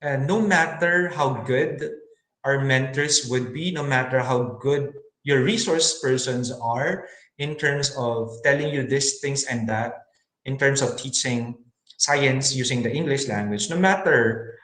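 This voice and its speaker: A man giving an earnest webinar talk on teaching science in English, pausing often with fillers and restarts. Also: mid-range voice, fairly bright tone, roomy sound, rough recording. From Philippines. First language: Filipino.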